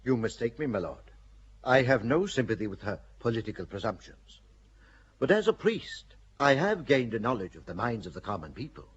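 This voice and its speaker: An actor playing a calculating bishop in a radio play, speaking in a measured, persuasive way with a husky voice. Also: male voice, medium pitch, big pitch swings, muffled recording, slight background noise, natural voice. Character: shrewd older churchman, a bishop, calculating.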